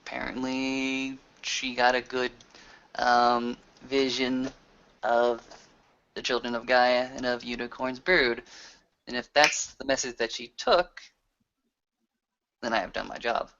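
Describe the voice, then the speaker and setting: A man's voice: mid-range voice, steady tone, slow pace, pauses often, pushed and bright, clear, resonant tone. A man roleplaying as a player in a tabletop session, hesitant and thinking aloud as he goes. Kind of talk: improvised in-character roleplay. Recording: dull lo-fi recording.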